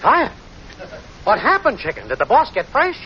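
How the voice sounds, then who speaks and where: A glib, lazy deadbeat boyfriend in a 1940s radio comedy. He cajoles in slangy lines with hugely animated pitch, on a muffled recording with clear background noise. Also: moderate pace, exaggerated voice. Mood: scheming. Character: lazy, slick, slangy deadbeat boyfriend, a small-time schemer. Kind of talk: 1940s radio sitcom acting.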